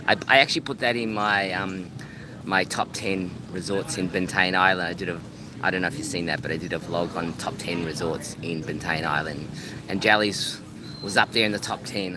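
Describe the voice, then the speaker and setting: A man chatting casually on a live stream from a beachside restaurant, often repeating words as he restarts his sentences. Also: sits low. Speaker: travel vlogger. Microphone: phone live-streaming. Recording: outdoor traffic noise. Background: street and vehicle noise.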